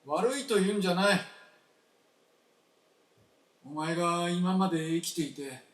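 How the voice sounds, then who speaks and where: An actor playing a regretful old husband in a live radio drama, speaking haltingly and with heavy emotion to his late wife. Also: sits high, a bit bright. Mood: anguished regret. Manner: halting, heavy with emotion, falling to near whispers. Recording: roomy stage, distant mic, faint noise.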